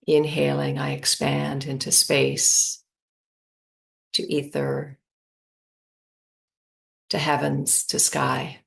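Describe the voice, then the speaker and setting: Slow, low-voiced woman guiding meditation. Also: vocal fry. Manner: slow, calm, spacious phrasing with long pauses. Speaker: meditation guide. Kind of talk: guided meditation.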